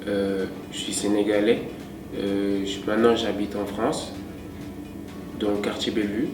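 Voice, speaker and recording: A young man from Senegal, speaking simply and haltingly in an interview, with many fillers. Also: on the low side, little pitch movement, over music. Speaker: young football volunteer. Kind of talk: documentary interview. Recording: roomy, distant, noisy background.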